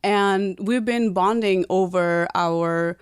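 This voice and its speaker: A woman in her thirties from Nepal, co-hosting a casual podcast, chatty and quick to laugh, often restarting her sentences. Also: medium pitch, smooth, no echo, clean studio sound. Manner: chatty, laughs easily, self-corrects and restarts. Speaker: podcast co-host. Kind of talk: casual conversational podcast chat.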